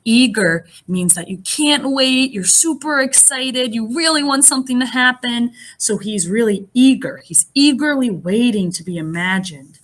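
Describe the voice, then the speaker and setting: A woman reading a picture book aloud as a teacher would to young children, expressive and pausing to explain things in simple words. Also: on the high side. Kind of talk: animated children's read-aloud with asides.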